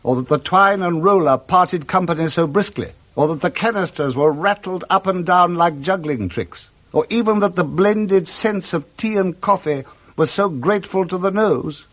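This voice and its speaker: A man with a British accent giving expressive scripted narration of a classic story in 1960s radio style, relishing each detail. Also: high voice, moderate pace.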